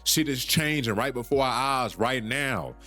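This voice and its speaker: A man's voice: medium pitch, lively intonation, measured pace, bright, forceful tone, faint music behind. A man hosts a solo podcast, talking in an urgent, preachy way that repeats points and swears casually. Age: 30s. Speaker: podcast host.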